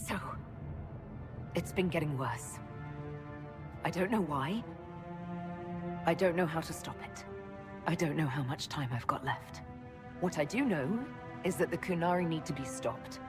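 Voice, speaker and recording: A woman's voice: sits low, unhurried, long pauses. An actress playing a weary but resolute woman leader in a fantasy video game, sober and decisive. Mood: grim, resolute. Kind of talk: dramatic video game acting. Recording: music under the voice.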